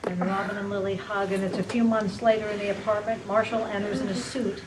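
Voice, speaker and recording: A woman reading stage directions aloud at a sitcom table read, in a plain, even manner. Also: mid-range voice, brisk. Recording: distant mic, noisy room.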